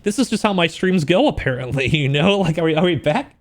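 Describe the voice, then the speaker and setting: A man with a high voice talks like a casual livestreamer reacting to things. His pitch moves around a great deal, giving him a very animated sound. He is slangy and joking, with an incredulous edge. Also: fairly quick. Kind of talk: casual livestream reaction with book read-outs.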